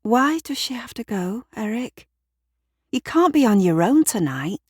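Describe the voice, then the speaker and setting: Audio drama neighbour , played by a woman: female, bossy, kind-hearted, insistent, voice pitched up, brisk delivery. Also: big pitch swings, darkish tone, breathy edge, no room sound, clean studio sound, heavily acted. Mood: worried, soothing. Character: Shirley, bossy, caring, nosy female neighbour.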